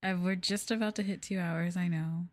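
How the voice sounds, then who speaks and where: A soft-spoken woman streamer chatting casually on an ASMR livestream in an unhurried, hesitant way, close to the microphone. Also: lowish voice, fairly even intonation. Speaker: VTuber streamer, host.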